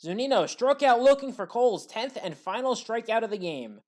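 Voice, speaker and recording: A woman's voice: a little high, lively intonation, normal speed, darkish tone. A woman commentator reading a scripted play-by-play sports recap in a factual, orderly way.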